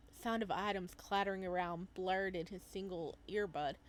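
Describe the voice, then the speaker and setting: A woman reading a visual novel aloud as a let's play host, reacting to the story in an animated way. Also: medium pitch, normal speed. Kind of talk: game commentary mixed with read-aloud narration.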